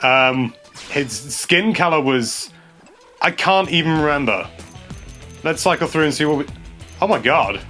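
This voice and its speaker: A young man hosting a casual gameplay commentary, chatty and excitable, stumbling over his words and laughing a lot. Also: a little high, measured pace, long pauses, soft background music. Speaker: games journalist host. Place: small indoor room.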